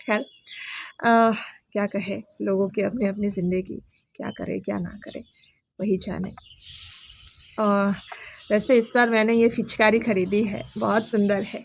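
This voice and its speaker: A woman's voice: mid-range voice, a little slow, vocal fry, long pauses, slight background noise. A woman vlogger with a sore throat, chatting casually to camera, hesitating often with fillers. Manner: relaxed, hesitant, many fillers. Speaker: vlogger and teacher.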